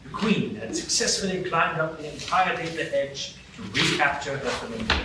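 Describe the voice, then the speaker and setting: Guy narrating a radio play, kinda high voice, echoey and far from the mic. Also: lively intonation. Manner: measured, storytelling. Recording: echoey live stage from far off, with noise.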